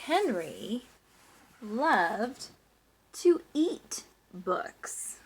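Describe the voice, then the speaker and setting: A woman teacher doing an animated read-aloud of a children's story, with chatty asides and questions to young listeners. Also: fairly high pitch, extremely slow, slight background noise, poor audio. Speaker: teacher reading aloud to class.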